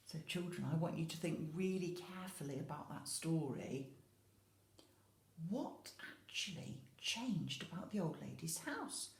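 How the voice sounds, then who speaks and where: A woman reads a story as an infant school teacher would. Her pitch rises and falls widely and her pace is slow, with explanations and questions for young listeners. The room has a clear echo. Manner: expressive, explaining and questioning as if to small children. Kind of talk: animated children's storytelling with asides. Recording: echoey room.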